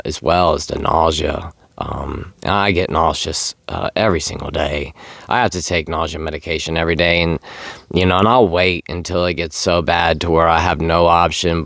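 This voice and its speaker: Male vlogger, very deep and dry-sounding, tired failing voice, plain-spoken and unhurried in casual address. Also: creaky, fairly bright tone, dry recording. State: losing his voice, tired. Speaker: sobriety vlogger. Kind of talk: casual vlog talk to camera. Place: outdoors.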